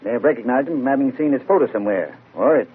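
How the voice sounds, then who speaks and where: A man playing a gruff, no-nonsense older police inspector with clipped speech in a 1940s radio mystery. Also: mid-range voice, expressive, racing. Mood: businesslike. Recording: tinny old radio broadcast.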